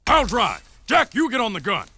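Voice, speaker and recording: An actor playing a brash, bossy wasteland vehicle boss in a video game, with excitable delivery. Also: male voice, high voice, normal speed, husky, full character voice. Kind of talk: video game cutscene acting.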